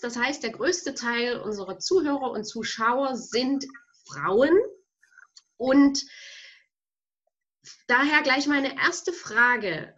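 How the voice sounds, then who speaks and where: A woman hosting an interview podcast while she has a cold, asking her guest questions in a friendly, measured way. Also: high voice, fairly bright tone. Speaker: podcast host. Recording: dull lo-fi sound.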